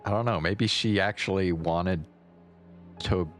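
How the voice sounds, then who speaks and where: A man's voice: low voice, measured pace. A man giving casual, laid-back, slangy commentary while streaming a game. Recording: faint game music behind.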